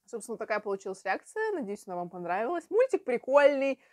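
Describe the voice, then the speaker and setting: A woman's voice: on the high side, big pitch swings, quick pace, dry recording, studio quality. A woman in her twenties giving casual, offhand reactions as a video host, speaking informally. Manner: casual, swears freely. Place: small furnished room at home, indoors.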